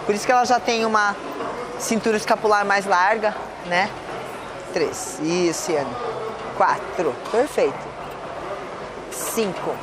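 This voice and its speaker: A woman in her thirties from Brazil, a personal trainer coaching a gym workout, counting reps and giving quick encouragement. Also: mid-range voice, background noise. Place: large indoor gym. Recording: loud background music.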